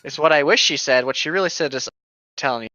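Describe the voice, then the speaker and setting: A woman's voice: sits low, fast talker, pushed and bright, dry recording, highs cut off. A woman co-hosting a casual read-aloud podcast, mocking the book with sarcastic, irreverent commentary and plenty of swearing. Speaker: podcast co-host reading a novel aloud with commentary.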